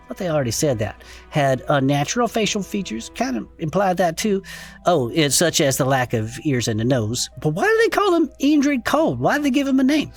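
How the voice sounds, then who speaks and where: A performer playing a folksy, excitable male podcaster in a comedic audio drama, reading strange reports aloud with incredulous asides. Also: medium pitch. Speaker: co-host reading case reports.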